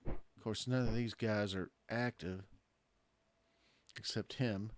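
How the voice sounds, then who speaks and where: A man with a hoarse voice, casually commenting on a strategy game he is playing and thinking aloud in a hesitant way. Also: fairly low pitch, fairly even intonation, slow pace, no room sound. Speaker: gameplay commentator. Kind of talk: casual let's-play commentary.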